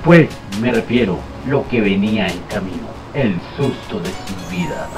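A low-voiced, mock-dramatic storyteller acted by a man narrates a comic horror radio play. He takes his time, sometimes stumbling into self-correction, over clearly audible music. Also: animated, a little slow. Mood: mock-ominous.

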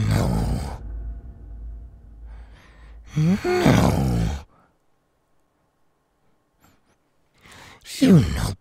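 A man playing a menacing, sadistic demon villain in an anime audio roleplay, taunting in a raspy, gravelly voice. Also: fairly low pitch, no echo, heavily acted. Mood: frantic, enraged. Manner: slow, taunting, drawn-out. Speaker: voice actor.

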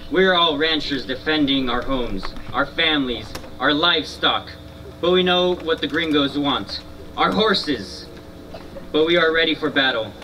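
A man's voice: a little high, sharp tone. A man playing a proud, defiant Californio rancher's son in a live historical reenactment, speaking formally and boastfully. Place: outdoor stone amphitheater in open scrubland. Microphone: stand mics, recorded from a distant camera. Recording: outdoor stage from far off.

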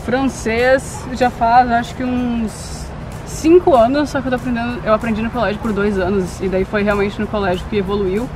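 A young woman of eighteen talks in an easy, unhurried way, stopping now and then to find her words. She is outdoors, picked up by a nearby camera mic, with music running under her voice. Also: on the high side, low-quality recording. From Brazil. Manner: relaxed, thinks aloud with pauses. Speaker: vlog co-host. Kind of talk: casual chat. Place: outdoors in an open grassy field. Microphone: camera mic at arm's length.

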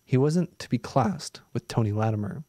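A man in his thirties reads a science fiction story aloud into a boom-arm studio mic. His pitch sits in the middle range, and the sound is dry and close with no room echo. He reads at a steady pace and lifts his voice a little for the characters' lines. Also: moderate pace. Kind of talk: live reading of a novella, doing dialogue lightly. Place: small room with green screen, indoors. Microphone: studio mic on boom arm close to mouth.

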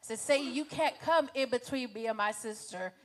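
A woman's voice: a little high, moderate pace, fairly bright tone. A woman giving a spoken church testimony, emphatically retelling conversations as she goes.

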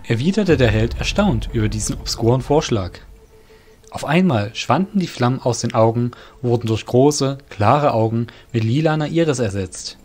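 A male narrator reads a scripted fantasy tale. His voice is of average pitch, and soft music runs behind it. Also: lively intonation, normal speed.

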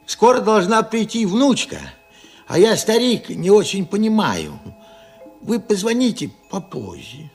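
A forgetful old grandfather in a Soviet radio play. He mutters slowly and haltingly in a gravelly, very husky voice, bewildered by everything around him. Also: sits high, big pitch swings, faint music behind, exaggerated voice. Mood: uneasy, bewildered. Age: older. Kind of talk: Soviet radio drama acting.

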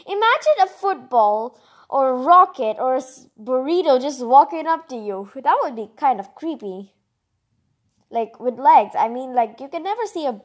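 A woman reading a children's picture book aloud, expressively and informally, with chatty asides and exclamations. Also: high-pitched. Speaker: read-aloud narrator.

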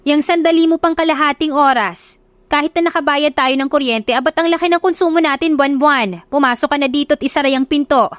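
A fussing, nagging mother of middle age with a raised, scolding voice, as a woman narrator puts her on. Her lines come out high and quick, in a dry, close recording. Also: fairly bright tone, no room sound.

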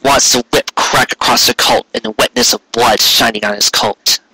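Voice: mid-range voice, steady tone, moderate pace, pushed and bright, breathy edge, dry recording, rough recording. A reader giving a dramatic narration of a scripted story. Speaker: fan fiction reader.

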